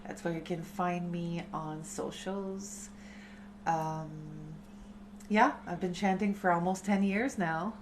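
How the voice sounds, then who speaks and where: A woman in her thirties, a chanting teacher, talking softly and unhurriedly to her live-stream community. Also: fairly low pitch, fairly even intonation, noisy background. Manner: slow, soft, unhurried spiritual talk.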